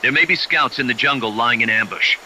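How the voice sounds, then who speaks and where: An actor playing a brisk, serious male military ally in a video game, giving clipped briefings. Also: mid-range voice, bright, forceful tone, slight background noise, poor audio. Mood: urgent warning. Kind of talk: dramatic video game acting.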